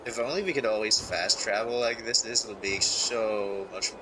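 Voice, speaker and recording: Male game streamer, fairly low register, loose offhand reactions, noisy room ambience behind the mic. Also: normal speed. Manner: short offhand reactions, mumbled asides. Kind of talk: casual livestream commentary over a game.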